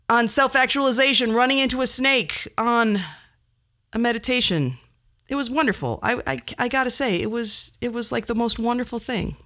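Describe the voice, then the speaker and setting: A woman's voice: fairly high pitch, a bit bright. A woman podcast host telling a personal story casually, chatty and rambling, with wry asides.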